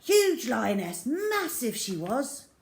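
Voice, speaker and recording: A woman voicing a stern, blustering farmer father in a children's story, forceful and emphatic. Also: on the high side, big pitch swings, slow pace, vocal fry, voice pushed up, exaggerated voice. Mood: triumphant.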